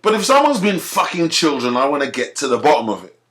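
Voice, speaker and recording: A man's voice: a little high, huge pitch swings, quick pace, fairly bright tone. A man with a British accent, from Africa, ranting to camera in a heated, profane way and often restarting his sentences. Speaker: video commentator.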